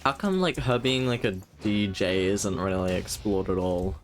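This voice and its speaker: A man's voice: lowish voice, normal speed. A man doing casual live gameplay commentary as a streamer, muttering and thinking aloud into a close mic. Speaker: game streamer. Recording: faint game music behind.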